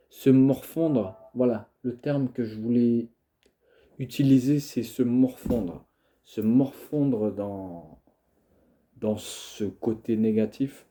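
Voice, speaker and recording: A man's voice: mid-range voice, warm tone. A young man with a cold, talking casually to camera in a hesitant way, full of fillers and restarts. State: has a cold, coughing. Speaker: video creator.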